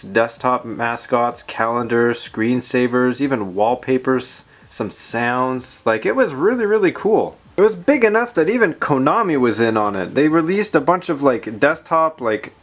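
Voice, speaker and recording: A man hosting a casual tech and gaming vlog, talking informally with slangy, self-deprecating commentary. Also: medium pitch. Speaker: video host. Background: background music.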